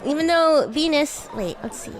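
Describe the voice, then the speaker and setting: A woman roleplaying a playful, bratty demon classmate on a livestream, chatting in a halting, improvised way. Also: high-pitched, expressive, faint music behind, a faint crowd. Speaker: streamer and VTuber host.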